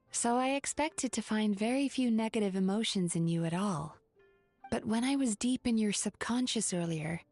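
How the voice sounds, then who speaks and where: A woman playing a composed, caring, gently wry young therapist with a measured, articulate manner in an anime-style video game. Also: on the high side.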